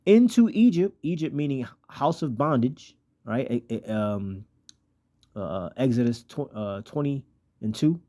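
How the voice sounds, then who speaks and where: A man's voice: mid-range voice, unhurried, dark tone. An American man preaching informally in a colloquial, slangy way, moving between plain talk and scripture reading. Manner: colloquial, street slang and profanity. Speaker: religious teacher.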